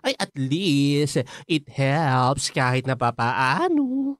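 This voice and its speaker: A woman playing an outspoken, protective best friend in a radio drama, expressive, nagging and comforting with exasperated interjections. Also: extremely low pitch, drawn-out words, no echo, clean studio sound, heavily acted. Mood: blunt. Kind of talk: Filipino radio drama dialogue.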